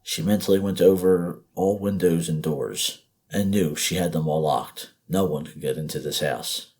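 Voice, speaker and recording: A man's voice: sits low, normal speed, a little mellow, husky. A man reading a scripted horror story as narrator, in a dramatic, suspenseful, emphatic way.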